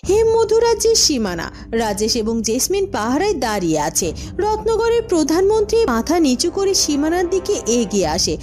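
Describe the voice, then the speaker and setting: A woman's voice: very high-pitched, very animated, fairly quick, darkish tone, faint music behind. A woman narrator reading dramatic scripted storybook narration for a cartoon.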